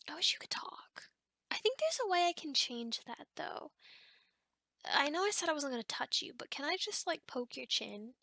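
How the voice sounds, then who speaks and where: A woman's voice: high-pitched, bright, forceful tone, dry recording, full character voice. A woman voicing a chatty, teasing female sleep paralysis demon in an ASMR roleplay, talking in a casual, rambling, hesitant way. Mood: teasing, reassuring. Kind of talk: ASMR roleplay, one-sided conversation.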